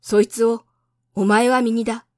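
A woman's voice: on the high side, little pitch movement, a little slow, dark tone, clean studio sound, close to an everyday voice. Woman doing a tired railway switchman guy, blunt, matter of fact, storybook style, no room echo. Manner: blunt, plain-spoken explaining. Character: matter-of-fact male railway switchman, world-weary worker. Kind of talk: storybook reading.

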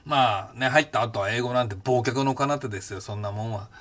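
A man in his fifties, hosting a podcast and recounting his past in a casual, blunt and animated way. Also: medium pitch, brisk. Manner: animated, blunt storytelling with rough casual speech. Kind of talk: casual spoken memoir.

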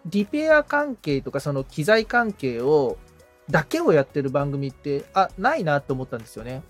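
Animated, tentative male podcast assistant. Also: a little high. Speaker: podcast assistant being coached. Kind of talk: casual conversation, asking and musing.

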